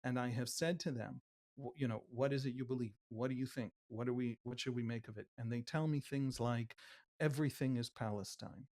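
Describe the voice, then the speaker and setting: A man's voice: mid-range voice, fairly even intonation, fairly quick, a little mellow. Impassioned man with a Wisconsin accent. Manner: fluent, building lists and repetition. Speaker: guest analyst. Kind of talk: impassioned spoken analysis.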